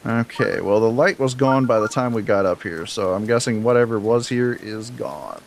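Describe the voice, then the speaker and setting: A man with a deep voice streaming a game, chatting candidly and easily with viewers while he plays, close to his mic. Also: lively intonation, normal speed, creaky, noisy background. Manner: candid, laid-back, talks to chat between game moments. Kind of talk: casual live-stream chat while playing. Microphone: close desk mic. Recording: game music audible under the voice. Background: game music.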